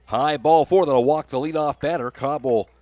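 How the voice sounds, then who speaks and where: A man's voice: fairly high pitch, moderate pace, warm tone, no room sound. A man working as a radio play-by-play announcer, calling baseball and reading sponsor spots. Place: live radio broadcast from a ballgame.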